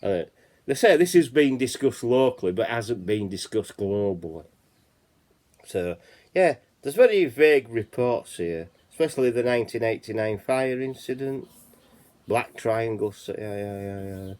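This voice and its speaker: A man's voice: medium pitch, lively intonation, moderate pace, darkish tone. A man with a Yorkshire accent hosting a casual chat channel, reading out reports and rambling, often saying "you know what I mean". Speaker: channel host.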